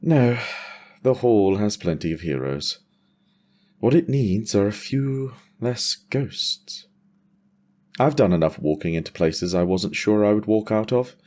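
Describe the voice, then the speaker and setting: Battle-worn dragon rider, reflective and mellow man acting. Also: on the low side, lively intonation, unhurried, voice pushed up. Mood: weary, resolute. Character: battle-worn male dragon rider, weary but hopeful father. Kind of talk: cinematic fantasy audio drama.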